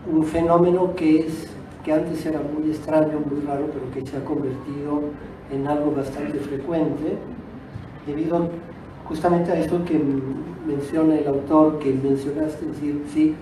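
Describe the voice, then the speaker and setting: A man's voice: fairly high pitch, fairly even intonation. Echoey, hesitant man, native Italian speaker. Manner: hesitant, reflective, pausing to reword. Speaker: guest author, poet. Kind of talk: unscripted public talk. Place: auditorium at a book fair. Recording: distant echoey auditorium, noisy background.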